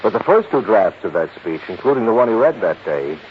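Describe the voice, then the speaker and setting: An actor playing a worried, pessimistic American insurance executive in a 1950s radio drama, explaining emphatically and prodding with questions. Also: male voice, fairly low pitch, very animated, fairly quick. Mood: emphatic explaining. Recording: dull, hissy 1950s radio recording.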